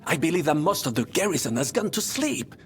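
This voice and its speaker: An actor playing an older, boastful French knight in a video game, with a French accent and a theatrical, pompous manner that peppers speech with French. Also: male voice, on the high side, very animated, a little fast, a little hiss or hum. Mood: measured, wry. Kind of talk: video game dramatic acting.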